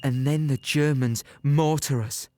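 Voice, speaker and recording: An actor playing a young wounded British infantryman, plainly and haltingly telling his story in an audio play. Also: medium pitch, steady tone, close to an everyday voice. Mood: matter-of-fact. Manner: conversational, halting, present-tense storytelling. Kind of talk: first-person dramatic monologue in audio play.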